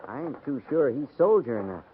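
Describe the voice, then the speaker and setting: A thin, faintly hissy old radio recording of a man playing an American frontier sergeant. He is gruff and sceptical, folksy and sparing with words, at an ordinary male pitch, in 1950s western drama style. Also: sing-song, fairly quick, narrow, tinny audio, close to an everyday voice. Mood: doubtful. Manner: folksy, laconic, dropped syllables.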